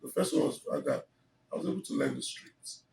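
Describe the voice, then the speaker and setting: A man's voice: mid-range voice, wildly swooping pitch, quick pace, gravelly, noisy background. A middle-aged man with a Nigerian English accent, telling his life story in an emphatic, expansive way with many fillers, interviewed on a sofa with a handheld mic. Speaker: interview guest. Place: living room on a sofa, indoors. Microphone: handheld mic held by interviewer. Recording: roomy, slightly distant mic.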